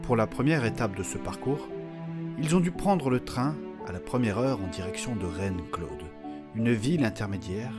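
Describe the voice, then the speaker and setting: A man's voice: fairly low pitch, expressive, moderate pace. A man giving scripted narration and announcements as the narrator of an audio fiction series. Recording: music bed under the voice.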